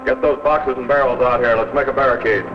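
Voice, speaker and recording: An actor playing a menacing, impatient outlaw boss barking commands in a 1950s TV western. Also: male voice, mid-range voice, fairly even intonation, fast, close to an everyday voice. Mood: commanding, threatening. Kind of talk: 1950s television western acting. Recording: dull vintage TV soundtrack, loud music.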